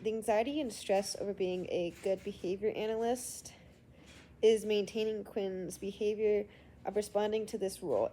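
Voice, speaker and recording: A woman's voice: mid-range voice, warm tone, a little hiss or hum. A sick woman with a congested voice, recording her class assignment as a student and reading prepared answers with frequent hesitations.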